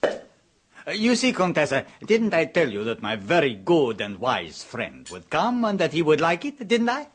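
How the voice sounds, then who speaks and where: A flamboyant count with a husky, lively voice. He gushes theatrically in an old radio drama. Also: on the high side, a little slow, exaggerated voice. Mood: gushing. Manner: theatrical, gushing, grandiose. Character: flamboyant Italian count, boastful art collector. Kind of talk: 1970s radio drama acting.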